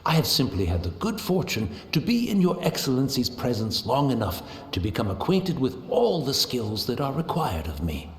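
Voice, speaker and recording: A serene, deferential tea master from a video game. His mid-pitched voice moves expressively through courtly, reflective phrases. It echoes slightly, over faint noise. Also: huge pitch swings, normal speed, few pauses. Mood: humble, courteous. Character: humble, serene blind tea master, devoted retainer.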